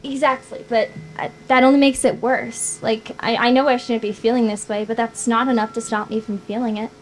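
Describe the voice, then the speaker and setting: A woman's voice: fairly high pitch, flat delivery, brisk, faint music behind, natural voice, low-quality recording. A woman reading the part of a wistful, teasing young woman at a screenplay table read. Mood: melancholy, anxious. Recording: noticeable background noise.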